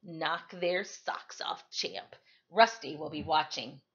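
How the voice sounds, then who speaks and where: A woman's voice: sits low, takes it slowly, fairly bright tone, breathy edge, highs cut off, voice pushed down, heavily acted. A woman voicing a friendly, encouraging male stage manager who explains things kindly in a storybook reading. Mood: proud, encouraging.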